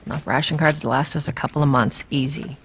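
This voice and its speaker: A woman's voice: sits low, steady tone, fast, vocal fry, a little hiss or hum. A woman playing a tough, wry smuggler in a video game, blunt and streetwise, with an American accent. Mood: defiant. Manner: blunt, casual, streetwise, swearing. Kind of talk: naturalistic video game dialogue.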